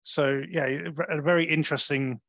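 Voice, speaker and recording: A man's voice: a little high, steady tone, brisk, a bit bright, no room sound. Close, dry-sounding man reviewing films. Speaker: film review podcast host. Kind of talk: conversational film review.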